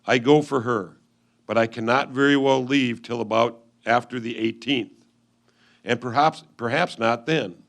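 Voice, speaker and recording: Earnest 1850s settler husband played by a man, mid-pitched, formal and measured, stage letter reading. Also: vocal fry. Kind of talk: reader's theatre letter reading.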